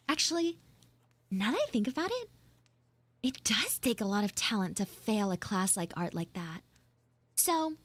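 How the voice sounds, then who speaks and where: A woman voicing a flustered young tsundere schoolgirl in a live audio roleplay, halting, awkward and defensive. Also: a little high, dry recording, full character voice. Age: young, school student. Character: flustered tsundere frog girl, failing art student.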